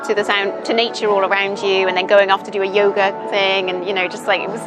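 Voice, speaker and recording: A woman's voice: mid-range voice, little pitch movement, quick pace, rough recording. A young woman from Britain, chatting thoughtfully as an interview guest with many ums and you-knows. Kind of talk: casual interview chat. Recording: music playing underneath.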